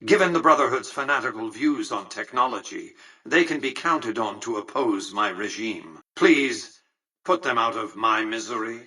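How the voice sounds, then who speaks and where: Imperious, husky-edged, bright-voiced old tycoon. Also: male voice, fairly low pitch, animated, moderate pace, far from the mic, full character voice, low-quality recording. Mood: dry, measured. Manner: formal, articulate, condescending, dryly superior. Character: cold, imperious old male tycoon ruling a casino city. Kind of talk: scripted video game character dialogue.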